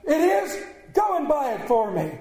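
Storybook role: man playing a repentant old miser, very high, excitable swoops, exclamatory and stammering, echoey room. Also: a little fast. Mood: delighted, eager. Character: repentant old male miser, desperate, then giddy with joy. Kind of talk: dramatic storybook reading.

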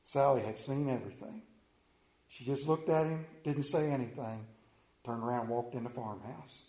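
A man's voice: medium pitch, normal speed, warm tone, reverberant, poor audio. A man with a Central Maryland accent preaching a sermon, folksy and mixing stories with personal asides. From Central Maryland, United States. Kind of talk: sermon with storytelling.

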